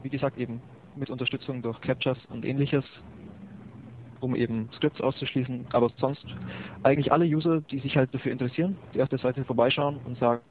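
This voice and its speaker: A man from Bavaria joins over an internet call, explaining his web project at an average pitch and pausing often on filler sounds. The line sounds thin and phone-like, with a little hiss underneath. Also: fairly even intonation. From Bavaria, near Augsburg. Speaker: guest, web project organizer.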